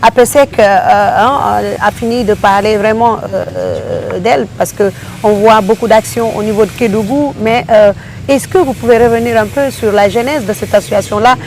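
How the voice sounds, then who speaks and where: A woman's voice: fairly high pitch, moderate pace, darkish tone, slight background noise, rough recording. A woman hosting a panel interview, talking with frequent hesitations and fillers. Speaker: radio show host.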